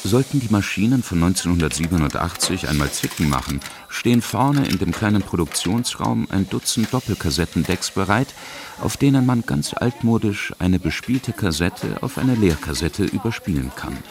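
A man's voice: low-pitched, animated, normal speed, crowd noise. A man reading scripted narration for a radio feature as its reporter. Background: music.

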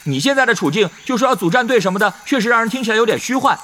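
High, rapid-fire chatterbox young gamer. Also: male voice, lively intonation, pushed and bright, a little hiss or hum, exaggerated voice. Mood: excited, pressing. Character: cocky talkative young male gamer, excitable and nagging. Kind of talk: Chinese audio drama acting.

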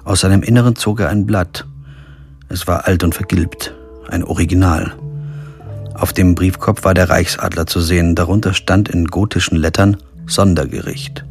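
An actor playing a brooding, weary middle-aged lawyer who narrates his own investigation in a measured, introspective way in a radio crime drama. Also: deep voice, steady tone, soft background music. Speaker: narrator and lead. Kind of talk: scripted first-person narration and dialogue in a radio crime drama.

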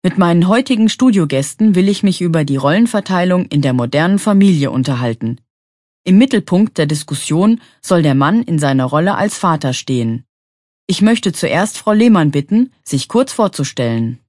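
An actress playing a polite radio show moderator, speaking in a formal, scripted way. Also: female voice, on the low side, animated, normal speed, a little mellow, no echo, clean studio sound. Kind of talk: scripted exam dialogue.

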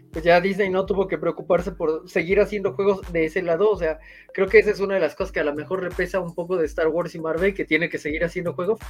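A Mexican man with a clearly high-pitched voice shares his opinions in a conversational, reflective way. He sounds like a gaming news co-host in casual discussion, with music running faintly behind him.

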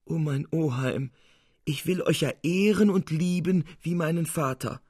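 A man's voice: on the high side, unhurried, darkish tone, husky, no echo, clean studio sound, voice pushed up. A man voicing a devoted young niece in a storybook, tender and imploring. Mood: pleading.

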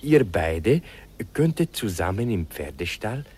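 Old country doctor, radio drama: low, husky, very animated intonation, patient and kindly. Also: male voice, a little slow, heavily acted. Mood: kindly, explaining. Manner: patient, explanatory. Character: kindly old Hungarian village doctor explaining local customs. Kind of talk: 1950s radio play acting.

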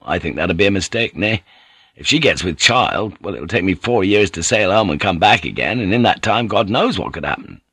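A man voicing a blunt, affectionate foreign seafarer in an audiobook, speaking tersely and at times haltingly. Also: deep voice, lively intonation, fairly bright tone, breathy edge, dry recording, studio quality, full character voice. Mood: frank, subdued. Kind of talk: audiobook character voice.

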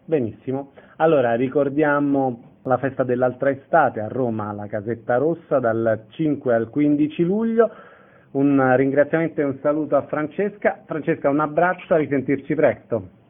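A man's voice: mid-range voice, moderate pace. A man conducting a radio interview as the interviewer. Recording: narrow tinny telephone-like radio sound.

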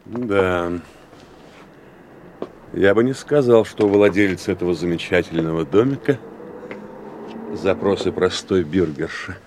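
Polite doctor detective, lowish voice, dry, reserved, radio play, bit of background noise. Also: male voice, unhurried, long pauses, darkish tone. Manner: polite, reserved, dryly questioning. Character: courteous, reserved doctor and investigator.

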